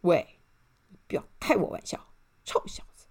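A woman playing a rude, arrogant rich man in a children's story, gruff, scornful and bellowing. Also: mid-range voice, sing-song, normal speed, darkish tone, full character voice. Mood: scornful, angry.

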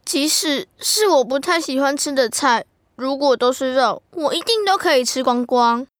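A woman's voice: high-pitched, animated, moderate pace, dry recording, studio quality. A woman playing an enthusiastic, curious, food-loving child in an educational radio drama. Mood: curious, chatty.

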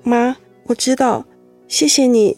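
A fragile young wife in a radio drama, kinda high voice, emotional, opening up. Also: female voice, slow, darkish tone, faint music behind. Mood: grateful, pleading. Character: vulnerable young wife holding back tears after illness. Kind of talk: Mandarin radio drama acting.

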